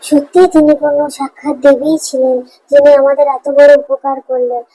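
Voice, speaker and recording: A woman voicing a caring, excitable village housewife in a cartoon fairy tale, with an expressive, fussing manner. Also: sits high, a little fast, close to an everyday voice, poor audio. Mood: curious, grateful.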